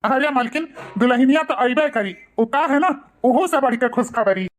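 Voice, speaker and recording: A man's voice: very high voice, expressive, normal speed. A man voicing emphatic, argumentative village family men with an Awadhi accent in a cartoon. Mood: excited. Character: village family men, stern old patriarch and grumbling son.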